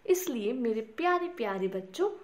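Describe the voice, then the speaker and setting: A woman's voice: fairly high pitch, big pitch swings, normal speed. A woman narrating a scripted bedtime story for children, speaking to them directly in simple words. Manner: addresses small children directly with simple questions and repeated words.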